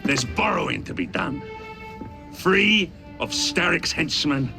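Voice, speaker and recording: Flamboyant villain, swooping theatrical delivery. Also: male voice, a little high, lively intonation, slow pace, gravelly, music in the background, exaggerated voice. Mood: gleeful showmanship. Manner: theatrical, grandiose, mock-affectionate. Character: flamboyant villainous theatre-owning male gang boss, gleeful and menacing. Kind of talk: video game cutscene acting.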